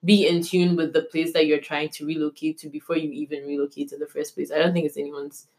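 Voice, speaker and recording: Low voiced young woman, blunt, kinda dry jokes, podcast chat. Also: little pitch movement, fast talker, darkish tone. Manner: blunt, matter-of-fact, joking. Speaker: podcast co-host. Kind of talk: casual discussion.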